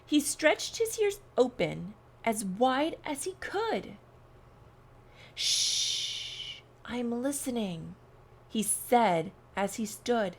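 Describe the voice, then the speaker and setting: Very slow, expressive woman storyteller. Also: on the high side. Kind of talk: children's read-along narration.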